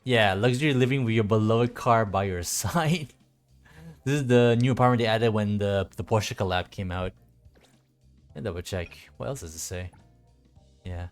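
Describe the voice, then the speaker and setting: Man, lowish voice, casual game streamer, muttering to himself, casual swearing, game music behind. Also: normal speed, long pauses. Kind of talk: casual solo gameplay commentary.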